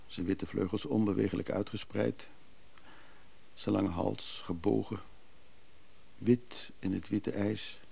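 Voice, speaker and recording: Husky, gravelly, wistful middle-aged man. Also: fairly low pitch, dark tone, exaggerated voice. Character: weary, wistful bereaved traveling businessman. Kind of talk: naturalistic Dutch radio drama acting.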